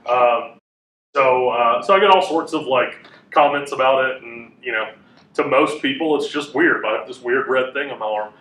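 A man in his thirties teaching a class in a casual, chatty way, with a loud voice that carries and many fillers. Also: medium pitch, moderate pace. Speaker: Bible teacher. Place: classroom with a whiteboard, indoors. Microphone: room mic from a fixed camera. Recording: echoey room, voice a bit far off.

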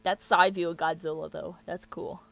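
A man's voice: a little high, little pitch movement, moderate pace, creaky, fairly bright tone. A young man giving casual reaction commentary and reading captions aloud, with muttered asides, on a close mic in a small room. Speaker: reaction video host.

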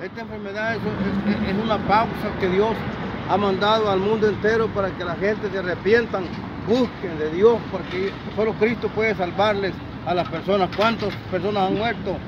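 A man's voice: sits high, steady tone, moderate pace. A middle-aged man preaching fervently, answering questions outdoors in a parking lot, recorded on a handheld phone. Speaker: street preacher. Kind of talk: street interview answers. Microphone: phone camera held by interviewer. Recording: dull phone audio with traffic noise. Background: traffic noise.

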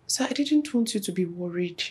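A woman's voice: fairly low pitch, lively intonation, a little fast, a little mellow, husky. A woman playing a lovesick employee who reproaches her married boss, pleading and tearful by turns. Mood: apologetic. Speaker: office assistant.

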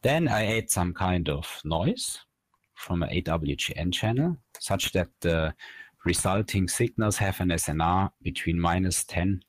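A man in his thirties delivers a technical online lecture in a low voice. He explains step by step in a measured, slightly halting manner. The sound is dry, with very little room echo. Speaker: researcher presenting at an online academy.

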